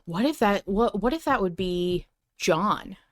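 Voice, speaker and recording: A woman hosting a casual fan discussion of an audio drama, talking in an animated way and repeating phrases for emphasis. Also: medium pitch, a little slow. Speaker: podcast host.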